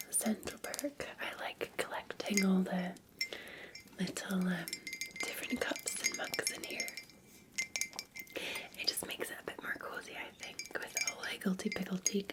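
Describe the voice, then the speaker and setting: A Scottish woman in a gentle, unhurried ASMR roleplay, whispering breathily as a café barista talking to a customer. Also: mid-range voice.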